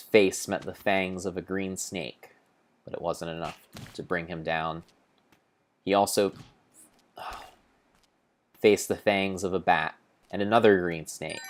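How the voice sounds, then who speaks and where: A man giving a grave, theatrical, storybook-style narration of video game play, in deliberate phrases with pauses. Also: deep voice, steady tone. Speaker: streamer narrating his gameplay.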